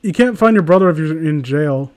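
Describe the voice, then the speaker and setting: High-pitched man bantering, sweary and mocking. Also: expressive, fairly quick, darkish tone. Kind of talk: casual group chat and commentary on amateur fiction read aloud.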